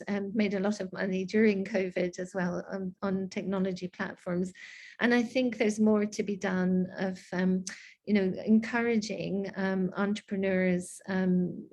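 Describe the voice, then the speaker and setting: A woman's voice: medium pitch, a little mellow. A middle-aged woman with a slight Scottish accent, speaking as a guest in an online discussion, thoughtful and full of ums and restarts. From Scotland.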